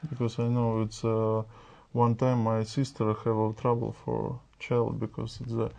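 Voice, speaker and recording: A Russian man speaks slowly and haltingly in a speaking test, his voice gravelly and dark. His delivery stays flat and mellow. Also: on the low side, muffled recording. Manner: halting, broken phrasing. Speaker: exam candidate. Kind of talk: speaking exam answers.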